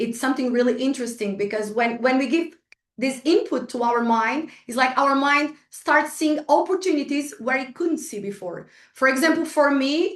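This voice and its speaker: A woman with a Portuguese accent, a Portuguese native speaker, giving a chatty motivational workshop talk to an online group, full of restarts and small laughs. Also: high-pitched. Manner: chatty, frequent restarts and fillers, laughs at herself. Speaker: guest speaker. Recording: echoey room, distant from the mic.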